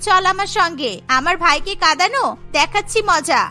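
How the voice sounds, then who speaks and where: Woman voicing a very high-pitched protective cartoon big sister. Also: expressive, normal speed, bright, forceful tone, soft background music, full character voice. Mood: indignant, protective. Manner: feisty, scolding, protective. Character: bold, protective young girl, caring bossy big sister. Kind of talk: cartoon dialogue acting.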